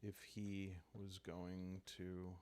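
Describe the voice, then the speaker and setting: A man voicing a proud medieval crusader who recounts his life in a solemn, measured way for a sleepy roleplay. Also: low voice, monotone, a little mellow, a little hiss or hum.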